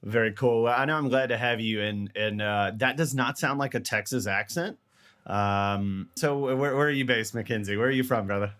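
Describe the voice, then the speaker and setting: A man's voice: medium pitch, normal speed, fairly bright tone. A man hosting a casual podcast interview, rambling and repeating words, joking at his own expense. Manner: rambling, repeats words, jokey and self-deprecating. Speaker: podcast host.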